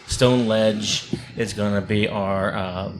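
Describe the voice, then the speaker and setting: A man hosts a podcast. He sounds upbeat and chatty, full of fillers and quick restarts. Faint room noise runs behind him. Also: fairly low pitch, fairly even intonation. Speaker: podcast host. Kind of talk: casual podcast hosting.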